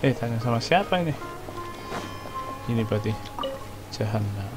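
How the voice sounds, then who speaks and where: A man's voice: medium pitch, expressive, fairly quick, music in the background, rough recording. A man from Indonesia, giving slangy, casual, muttered commentary as a gameplay streamer.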